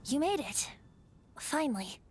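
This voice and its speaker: A woman's voice: high-pitched, big pitch swings, measured pace, a little hiss or hum. A woman playing a playful, ancient but youthful boyish bard spirit in a video game, with a theatrical, lilting storyteller's delivery. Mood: playful, cheerful. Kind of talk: video game character acting.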